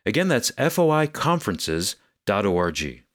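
Man, co-host, low lively voice, upbeat promo read, dry close sound. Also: measured pace, dry recording. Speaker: co-host reading an announcement. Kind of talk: scripted announcement.

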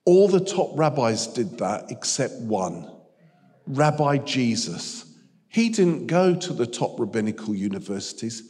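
A man preaching a humorous sermon as a guest speaker, telling stories with jokey, animated asides, in a church hall. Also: medium pitch, unhurried.

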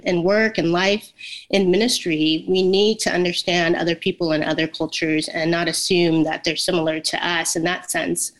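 Soft-spoken woman, hesitant and thoughtful. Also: lowish voice. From Vietnam. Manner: thoughtful, many ums and uhs. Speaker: guest. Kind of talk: interview over video call. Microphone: video call.

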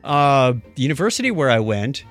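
A middle-aged or older man hosting a casual tech talk show, chatting conversationally and thinking out loud as he goes. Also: medium pitch, big pitch swings, a little fast, faint music behind. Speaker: podcast host.

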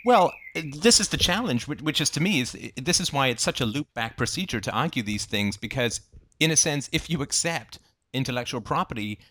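A man's voice: mid-range voice, lively intonation, brisk, bright, forceful tone. A man thinking aloud in an informal debate, explaining through hypothetical examples as he goes. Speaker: book club discussion host.

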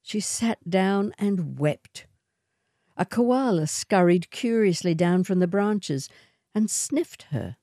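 A woman reading a literary short story in a measured, atmospheric way. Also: fairly low pitch, mellow, dry recording, clean studio sound.

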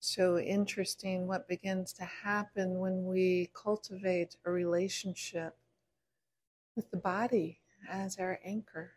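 Woman, meditation teacher, lowish pitch, very slow delivery, gentle, frequent pauses, guided body-scan style. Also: little pitch movement. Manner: gentle, unhurried, pausing between short phrases.

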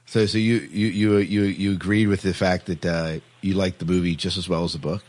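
A man hosting a casual panel discussion, speaking haltingly and often repeating words. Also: deep voice, fairly even intonation, darkish tone, dry recording. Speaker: podcast host.

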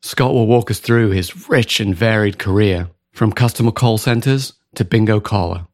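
A British man hosts a podcast in a fairly low voice, calm and deliberate. He moves from a read introduction into a relaxed interview. The recording is dry and close, with no room sound. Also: no echo. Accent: British English. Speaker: podcast host.

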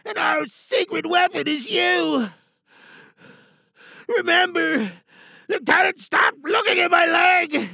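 High, creaky dying soldier. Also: male voice, expressive, sharp tone, no echo, clean studio sound, exaggerated voice. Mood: urgent, gruff. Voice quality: creaky, strained. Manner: halting, urgent. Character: dying battle-hardened soldier, infected, urging on lieutenant.

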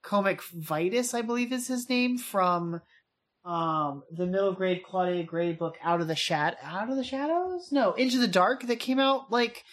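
A woman's voice: medium pitch, moderate pace. A woman co-hosting a podcast, chatty and enthusiastic in casual talk. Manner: chatty, enthusiastic, slangy, says dude and hype. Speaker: podcast co-host. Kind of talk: casual chat.

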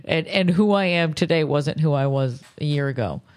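A woman's voice: sits low, normal speed. Woman comic with an old-fashioned cadence. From Boston, US. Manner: old-fashioned cadence with downward turns at phrase ends. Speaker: comedian guest. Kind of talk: casual podcast chat.

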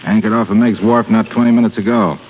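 An actor playing a tough, plain-spoken sea captain with a casual, blunt, laconic manner, in 1930s radio drama style. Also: male voice, lowish voice, fairly even intonation, quick pace, natural voice. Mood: matter-of-fact. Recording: scratchy, narrow 1930s radio recording.

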